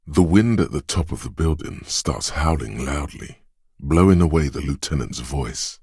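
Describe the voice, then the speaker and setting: A gravelly, grim inner voice of the senses. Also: male voice, extremely low pitch, animated, no echo, clean studio sound, exaggerated voice. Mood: brooding. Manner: slow, hushed, lingering on sensory detail. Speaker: voice of a game's inner perception skill. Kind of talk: second-person game narration.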